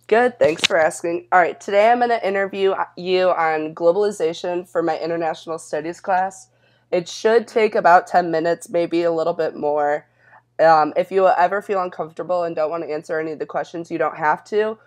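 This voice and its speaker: A woman's voice: on the low side, a little fast. A young woman conducting a student interview, talking informally and reassuringly as she puts questions. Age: young adult. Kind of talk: casual interview with scripted questions.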